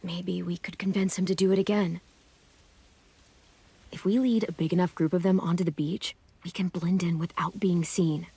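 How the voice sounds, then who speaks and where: A woman's voice: lowish voice, steady tone, moderate pace, husky. A woman voicing a determined, serious teenage girl who leads survivors in a zombie apocalypse video game. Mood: hushed, planning. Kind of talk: video game dramatic voice acting. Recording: loud music under dialogue. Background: dramatic game music.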